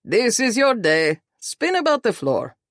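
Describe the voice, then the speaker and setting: A woman voicing a jovial, commanding male Soviet boss in an audiobook, with a booming, hearty manner. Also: very animated, a little slow, no echo, clean studio sound. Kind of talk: audiobook character voice.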